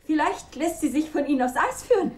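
High, lively, restless wife. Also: female voice, brisk. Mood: wistful, teasing. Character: frail, restless wife and mother, wistful, teasing, defiant. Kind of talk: German radio drama acting.

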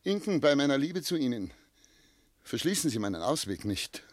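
A man's voice: mid-range voice, big pitch swings, normal speed, no room sound, clean studio sound. An actor playing a tormented, self-doubting man of seventy in love, speaking solemn literary German lines in a classic radio play. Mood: grave, pleading. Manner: solemn, literary, declamatory phrasing.

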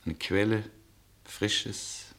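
An actor playing a weary, yearning traveller in a radio drama, with a husky voice and an earnest, poetic delivery. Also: male voice, on the low side, fairly even intonation, extremely slow, fairly bright tone, full character voice. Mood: yearning, hushed. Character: weary, yearning male traveller, ardent and searching. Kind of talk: German radio drama acting.

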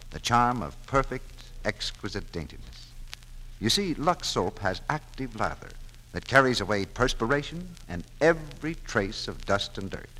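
A man's voice: fairly low pitch, a bit bright, rough recording. A man announcing and selling in scripted 1940s radio style, polished and emphatic. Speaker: announcer, pitchman. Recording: vintage 1940s radio, faint noise.

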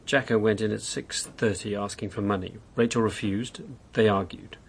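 An actor in a radio drama playing a bored, mischievous housebound husband, candid and needling in manner. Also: male voice, fairly low pitch, fairly even intonation, normal speed, vocal fry, a little hiss or hum. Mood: recounting.

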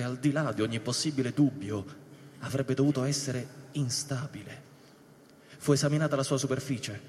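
A man reads dramatic narration for a radio play in a mid-pitched voice. His delivery is measured and expository, with long reverb and faint ambience behind him. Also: a little mellow, slight background noise.

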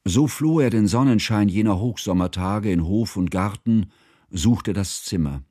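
An actor playing a grave, mournful narrator, reading literary prose in a solemn, articulate way for a radio drama. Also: male voice, lowish voice, a little slow, mellow, no room sound, clean studio sound.

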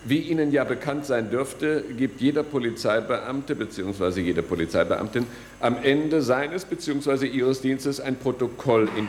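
An actor playing a proud, officious control room guide in a radio play, explaining things in formal bureaucratic language. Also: male voice, medium pitch, a little hiss or hum, natural voice. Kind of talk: German radio play acting.

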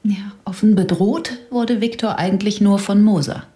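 An actress in a German radio drama playing a bereaved, bitter widow, speaking haltingly with breathy sighs. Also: female voice, mid-range voice, steady tone, warm tone, lightly acted.

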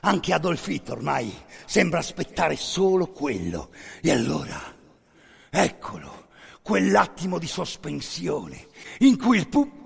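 A man performs dramatic storytelling for radio theatre in a very husky, gravelly voice. His delivery is slow and intense, built on incantatory repetition and pauses. The sound carries a long, hall-like reverb. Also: fairly high pitch, wildly swooping pitch. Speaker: actor narrating a stage monologue.

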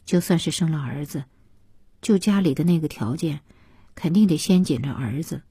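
A woman's voice: deep voice, lively intonation, moderate pace, dark tone, husky, no room sound, studio quality, exaggerated voice. A woman voicing a plain-spoken rural mother in an audiobook, subdued, frank and resolute.

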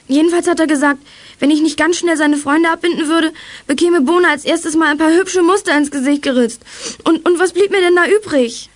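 A woman's voice: extremely high pitch, fairly quick. A woman playing a spirited girl from a detective gang in a German children's radio play, talking emphatically. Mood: agitated, indignant.

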